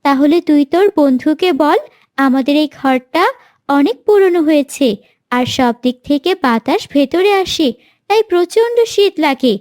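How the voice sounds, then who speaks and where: Cartoon mother bird, played by a woman: gentle, concerned, motherly, fairly high voice, dry recording. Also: lively intonation, normal speed, no room sound. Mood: hopeful.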